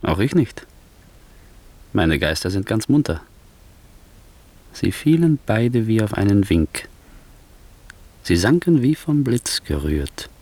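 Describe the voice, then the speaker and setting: A deep, gravelly scheming nobleman. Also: darkish tone, exaggerated voice. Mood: hushed, insinuating. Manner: theatrical, insinuating, rhetorical. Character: scheming ambitious nobleman, smooth-tongued, ruthless tempter. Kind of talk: classic German radio play acting, verse drama.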